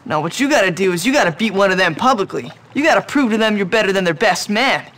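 An actor playing an eager, clever teenage schoolboy sidekick in a video game, speaking earnestly. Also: male voice, high-pitched, expressive, fast talker, a bit bright. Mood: advising. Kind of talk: video game cutscene acting.

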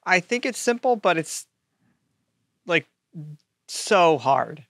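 Bright, creaky-voiced man in casual chat. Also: high-pitched, sing-song, slow, no room sound, clean studio sound. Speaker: podcast co-host and editor.

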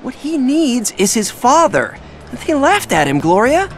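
A man voicing an earnest, eager young penguin father in an animated video game. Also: very high-pitched, measured pace, a little hiss or hum, heavily acted. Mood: earnest, worried. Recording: music behind the voice.

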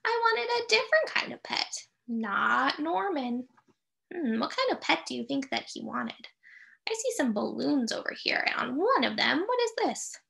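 High, animated woman reading to children. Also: vocal fry. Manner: expressive, sing-song, with drawn-out key phrases and dramatic gasps. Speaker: teacher reading a picture book aloud. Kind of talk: children's read-aloud with questions to young listeners.

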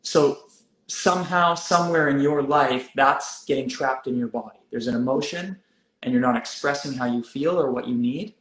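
A man in his thirties hosting a live wellness stream, calm and gently guiding, with slow deliberate phrasing. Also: mid-range voice, roomy sound, poor audio. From South Africa, raised in Canada. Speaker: wellness host. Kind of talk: live-stream coaching chat. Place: room indoors.

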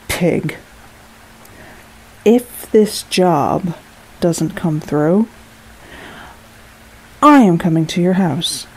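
Menacing, slow-talking volatile guest. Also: female voice, on the low side, darkish tone, breathy edge, exaggerated voice, low-quality recording. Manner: menacing, slow and deliberate, contemptuous. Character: volatile, threatening female short-term rental guest. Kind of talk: audio drama horror acting.

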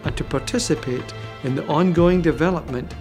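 A man reading a measured, informative scripted voice-over. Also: fairly high pitch. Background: music.

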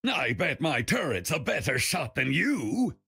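An actor playing a proud, gruff, boastful engineer in a game, with a rough gravelly voice. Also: male voice, on the high side, big pitch swings, unhurried, sharp tone, dry recording, full character voice.

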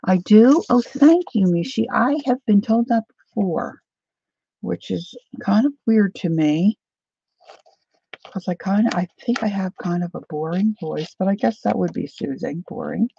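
A woman hosting a casual crafting live stream, chatting softly and unhurriedly with viewers while she cuts paper at her craft table. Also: mid-range voice, a little slow, creaky, breathy edge, dry recording, lo-fi sound. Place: indoor craft table, overhead camera.

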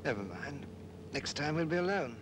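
An actor playing a cocky, boozy, reckless army captain in a 1940s British film. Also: male voice, a little high, lively intonation, normal speed, natural voice. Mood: smooth, flirtatious. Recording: noisy old film soundtrack, car engine.

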